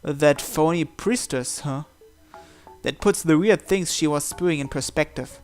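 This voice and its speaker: A man's voice: on the high side, measured pace, a little mellow. A man reading a visual novel aloud, narrating and acting out the protagonist's lines. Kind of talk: dramatized reading of narration and dialogue. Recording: music playing behind the voice.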